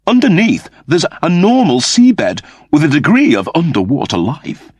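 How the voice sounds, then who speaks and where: A man's voice: a little high, wildly swooping pitch, moderate pace, a little mellow, breathy edge, dry recording, clean studio sound, pitched above the performer's own voice, full character voice. Man doing a polite, unflappable robot butler. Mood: unruffled, formal. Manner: soothing, deferential, cheerful understatement. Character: polite, unflappable male servant android.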